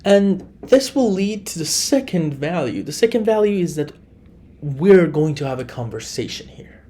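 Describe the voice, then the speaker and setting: A man from Iran, a native Farsi speaker with an Iranian accent, hosting a solo podcast in an unhurried way, often restarting and correcting himself. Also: fairly high pitch, sing-song, warm tone. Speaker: podcast host.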